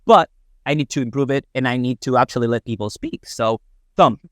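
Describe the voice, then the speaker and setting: Spanish guy hosting a podcast in english, smooth clear voice, lots of uhs and ums. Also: mid-range voice, a little fast, dry recording, clean studio sound. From Spain. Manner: rambling, lots of fillers and hesitation. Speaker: podcast host. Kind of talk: casual interview.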